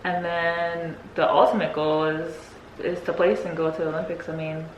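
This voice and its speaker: A woman's voice: fairly low pitch, flat delivery, moderate pace. A young woman, a guest athlete, chatting casually and giggling easily as she answers questions. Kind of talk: casual Q&A chat. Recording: echoey with faint noise.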